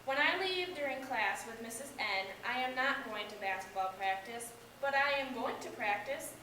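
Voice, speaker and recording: A woman's voice: on the high side, animated, normal speed, bright, forceful tone. Young woman as shy schoolboy, very echoey room. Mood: confiding. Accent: American. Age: young adult. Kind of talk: amateur reader's theater. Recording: echoey room, distant microphone, background hiss.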